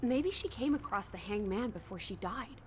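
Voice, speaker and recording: A woman's voice: mid-range voice, animated, normal speed, a little hiss or hum, natural voice. An actress playing a bold, teasing young woman with a blunt, morbidly curious, old-fashioned way of talking in a video game. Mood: speculating, indignant.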